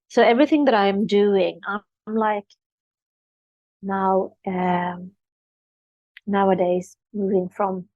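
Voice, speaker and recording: Swedish-native woman with flat, even delivery. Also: medium pitch, vocal fry, no echo, low-quality recording. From Sweden. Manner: earnest, flowing spiritual talk. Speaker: author and spiritual coach. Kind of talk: podcast interview.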